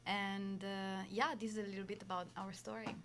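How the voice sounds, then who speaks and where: Italian accent woman, nonprofit cofounder, lots of ums and uhs, mid-pitched voice. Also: flat delivery, normal speed, fairly bright tone, a little hiss or hum. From Italy. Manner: hesitant, many fillers. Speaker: nonprofit co-founder. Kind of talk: interview guest.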